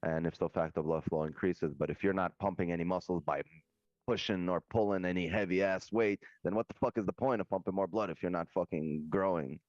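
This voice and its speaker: A brash man holds forth in loose, profane banter, his voice sitting extremely low. The sound is dry and dull, like a lo-fi stream mic. He talks with easy, swaggering confidence. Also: brisk, a little mellow, dry recording. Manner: brash, cocky, heavy swearing. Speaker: co-host on a live trading stream. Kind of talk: casual banter.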